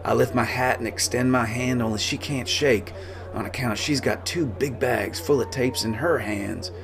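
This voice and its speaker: A man's voice: medium pitch, normal speed, creaky, over music. A man reading a first-person novel as a folksy cowboy storyteller, in rustic dialect. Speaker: author reading his own novel.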